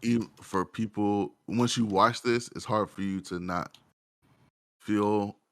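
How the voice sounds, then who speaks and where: Casting: male, deep voice, casual conversational tone, close dry recording. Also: steady tone, slow pace, no echo. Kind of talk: casual chat.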